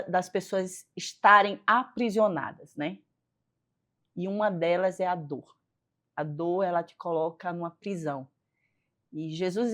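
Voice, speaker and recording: Northeastern brazilian lady, physio guest on a faith show, lowish voice, earnest, lots of "né". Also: fairly bright tone. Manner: earnest, pauses with frequent "né". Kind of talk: faith-based interview.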